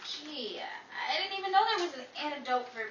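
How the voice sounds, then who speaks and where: A woman playing an excitable young woman in an amateur 1930s-style radio comedy, with a theatrical, exclamatory delivery. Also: high-pitched, normal speed. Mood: chatty. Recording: distant, roomy, very noisy home recording.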